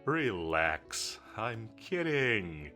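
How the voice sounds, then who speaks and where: A man's voice: fairly low pitch, big pitch swings, drawn-out words, pushed and bright, soft background music, heavily acted. A man playing a confident, teasing villain commander in a roleplay audio drama, drawling and flirtatious with a bossy edge. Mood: playful, flirtatious. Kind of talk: scripted roleplay audio drama addressed to the listener.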